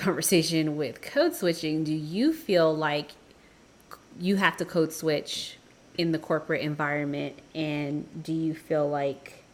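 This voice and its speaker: A woman co-hosting a casual debate podcast, laughing often and shifting between quick and drawn-out phrasing. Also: sits low. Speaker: podcast co-host.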